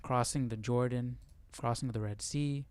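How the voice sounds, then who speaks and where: A man's voice: medium pitch, fairly even intonation, unhurried, a little mellow. A man hosting a livestream, talking through scripture informally and checking in with rhetorical questions. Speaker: host of a Bible study livestream. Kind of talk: casual conversational Bible commentary to viewers.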